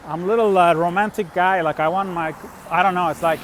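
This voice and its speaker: A middle-aged man from Turkey and Switzerland tells personal travel stories casually, in a halting, rambling way with trailing thoughts. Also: high-pitched, fairly even intonation, slight background noise. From born in Turkey, grew up in Switzerland. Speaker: vlogger, musician and traveler. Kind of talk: casual unscripted personal storytelling.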